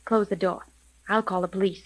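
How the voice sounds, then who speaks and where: An actress playing a composed, determined private detective boss in a 1930s radio mystery, speaking with poised, precise firmness. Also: female voice, mid-range voice, steady tone, fairly quick, close to an everyday voice, low-quality recording. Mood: brisk, firm.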